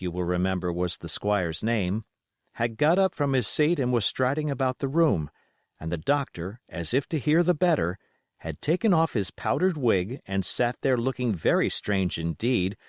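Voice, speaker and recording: A man reading a storybook aloud as scripted, expressive narration. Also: mid-range voice, dry recording, clean studio sound. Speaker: audiobook reader.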